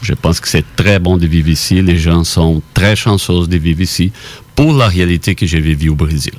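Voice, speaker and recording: Deep-voiced Brazilian man, earnest guest. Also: normal speed. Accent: Brazilian Portuguese. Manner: earnest, slightly non-native phrasing. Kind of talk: interview answers.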